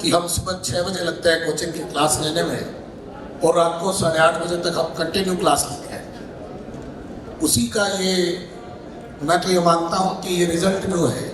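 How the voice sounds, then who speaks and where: A hoarse male teacher, giving a heartfelt, reflective address at a public event. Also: high voice, steady tone, measured pace, crowd noise. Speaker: teacher at coaching institute. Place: large hall. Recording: distant voice in echoey hall with faint noise.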